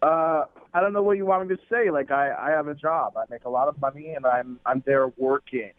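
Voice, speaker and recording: A man's voice: on the high side, fairly quick, a little hiss or hum. A man talking casually as a guest on a phone call, hesitant and a bit sardonic. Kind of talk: casual conversation. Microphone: telephone. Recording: dull, band-limited phone line.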